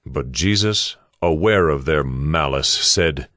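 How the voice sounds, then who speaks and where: A man narrating a dramatized Bible story in a solemn, measured, scripted style. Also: a bass voice, a bit bright, dry recording, clean studio sound, exaggerated voice.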